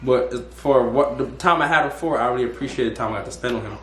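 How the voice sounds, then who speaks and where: A man's voice: medium pitch, fast, fairly bright tone. A young man hosting a casual interview, rambling and restarting his questions. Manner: rambling, restarts and repeats words. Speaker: host. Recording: echoey room.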